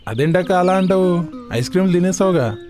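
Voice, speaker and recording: Fast-talking scheming ice cream seller, a man acting. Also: high voice, lively intonation. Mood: dismayed pleading. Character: scheming young male ice cream seller, easily exasperated.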